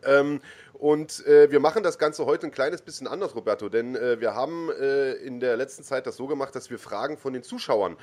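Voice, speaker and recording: A man's voice: medium pitch, moderate pace, darkish tone. A man hosting a combat sports podcast in casual chat, talking loosely with frequent fillers and self-corrections. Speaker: podcast host.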